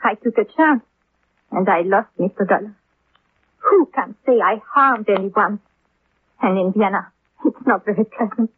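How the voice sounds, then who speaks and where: A woman's voice: mid-range voice, a little slow, pauses often, husky. A woman playing a defensive, self-pitying European swindler in a 1950s radio drama, with formal, careful phrasing. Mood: pleading, defensive. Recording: thin, narrow-band vintage 1950s radio.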